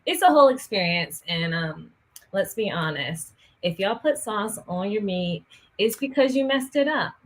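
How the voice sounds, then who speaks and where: A woman's voice: mid-range voice, expressive, moderate pace. A woman from Texas co-hosting a casual talk show, sassy and emphatic, with plenty of ums and likes. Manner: sassy, emphatic, many fillers. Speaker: co-host. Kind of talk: casual chat.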